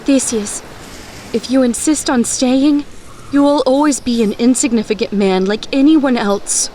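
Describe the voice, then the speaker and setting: A woman voicing a loving, worried mother in an animated story, speaking tenderly and solemnly. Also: fairly high pitch, measured pace, a little hiss or hum, close to an everyday voice. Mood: earnest. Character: loving mother of a young hero, worried, wise.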